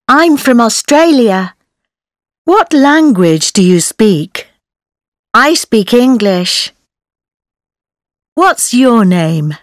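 A woman reading textbook questions and answers slowly and carefully for learners. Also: on the high side, no echo, clean studio sound. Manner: slow, careful model reading with lively intonation. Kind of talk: textbook audio dialogue.

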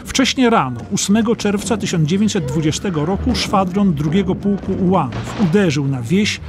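A man narrating a war history audiobook in a dramatic, scripted storytelling style. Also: high voice.

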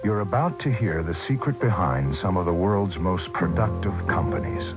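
Low-voiced man, dull old radio recording. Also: expressive, moderate pace, music in the background. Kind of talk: scripted radio commercial narration, early 1980s.

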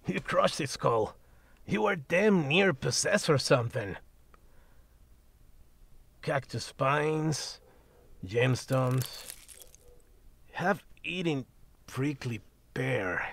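A man's voice: medium pitch, lively intonation, extremely slow, long pauses, a bit bright, heavily acted. An actor voicing a grumpy, foul-mouthed male talking cat in an audio drama, sarcastic and blunt, tossing in Spanish words. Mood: mocking. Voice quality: gravelly and husky. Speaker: magical shop cat.

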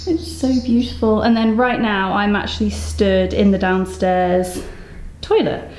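A woman's voice: medium pitch, steady tone, a little slow, darkish tone. A woman in her thirties with an English accent, chatting casually and enthusiastically as she vlogs. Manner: enthusiastic, gushing. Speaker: vlogger. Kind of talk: casual vlog tour, chatty. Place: home room, car, brick barn and yard. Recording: echoey rooms, noticeable background noise.

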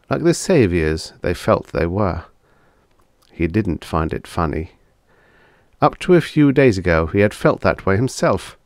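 A man with a low voice narrates vintage science fiction in a measured, dramatic storytelling manner. The recording is close and dry, with very little room around the voice. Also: no room sound. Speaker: audiobook narrator.

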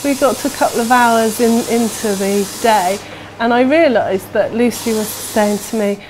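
A woman's voice: on the high side, normal speed, slight background noise, poor audio. A British woman in her thirties speaking in an interview as a cafe manager, on a lapel mic indoors. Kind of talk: interview testimonial. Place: indoors in a small cafe room.

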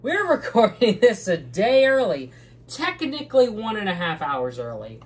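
A loud, excitable young man co-hosting a casual channel update in a small green-screen room, laughing often. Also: high-pitched, normal speed. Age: young adult. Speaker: channel co-host. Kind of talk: casual chat.

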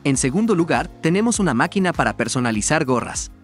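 A man narrating a scripted promotional explainer in a measured, formal read-out manner. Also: on the high side, very animated. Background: background music.

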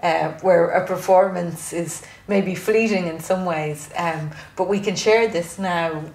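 A middle-aged woman asking interview questions in a measured way, with soft fillers. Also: fairly low pitch, fairly even intonation. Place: studio set, indoors. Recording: roomy echo.

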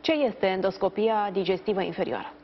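A woman in her thirties presenting morning news and putting formal questions to a guest from a studio. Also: mid-range voice. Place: indoors in a TV studio. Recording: slightly dull sound, faint background noise.

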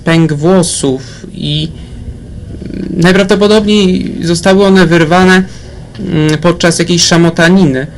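A man acts as a polite forensic doctor in a crime radio drama. He speaks haltingly and very slowly, in a rather high male voice, choosing words with care. There is faint noise behind him. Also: steady tone. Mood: hesitant, grave. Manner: halting, careful, deliberate. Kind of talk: crime radio play acting.

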